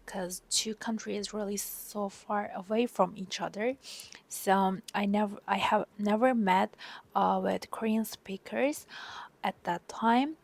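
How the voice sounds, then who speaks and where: A young woman from Turkey, speaking English with a Turkish accent as a non-native speaker, talking in a personal vlog as an exchange student, softly and often half-whispered with many hesitant fillers. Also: mid-range voice, slightly rough. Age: young adult.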